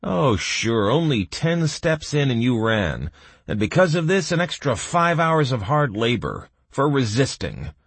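Man playing an exasperated, high-pitched, needling prisoner. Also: big pitch swings, unhurried, slightly rough, no echo, full character voice. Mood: accusing. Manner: mocking, needling questions. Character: accusing, exasperated male prisoner berating his companions.

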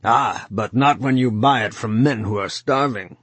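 A man's voice: medium pitch, a little slow, fairly bright tone, slightly rough, dry recording. A slight city buyer speaks with a surprisingly large, coarse and hard voice, as a man reads him. The tone is blunt. It is dry and close.

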